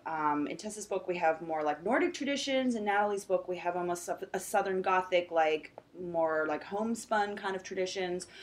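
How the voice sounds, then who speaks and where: A woman with a hoarse, scratchy voice hosting a book interview at a convention, chatty and full of restarts. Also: mid-range voice. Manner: chatty, uses many fillers like "like" and restarts. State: hoarse, slightly lost voice. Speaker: podcast host and book editor. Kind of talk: casual interview chat. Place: convention event, indoors.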